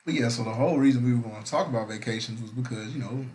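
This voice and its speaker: A man's voice: mid-range voice, rapid-fire, darkish tone, a little hiss or hum. Laid-back slangy man hosting a podcast. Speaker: podcast host. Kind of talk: casual chat.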